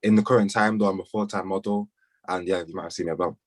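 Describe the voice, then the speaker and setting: A man in his twenties from northern England, soft-spoken with a "like" filler, introducing himself on a video call. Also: deep voice, fairly even intonation, fast, no echo, clean studio sound. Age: 20s. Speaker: panelist.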